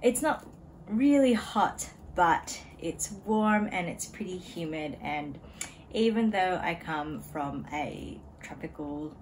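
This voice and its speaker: A woman chatting casually to camera as a knitting vlogger at home, relaxed and chatty with frequent ums and small laughs. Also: lowish voice, takes it slowly, a little hiss or hum, poor audio. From Australia. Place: home room beside a desk.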